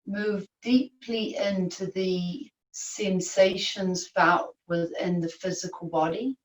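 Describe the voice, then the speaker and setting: Female yoga nidra teacher, lowish voice, soothing guided meditation, very unhurried delivery, recorded in an echoey room. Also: fairly even intonation. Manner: soothing, unhurried, long pauses. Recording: echoey room, distant microphone.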